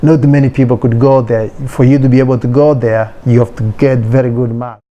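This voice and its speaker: A man with a dark, mellow tone explains things patiently. He often hesitates on fillers but keeps an earnest, steady delivery. His pitch stays mid-range. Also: fairly quick, dull audio. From Kenya. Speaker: interviewee. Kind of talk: explanatory interview talk.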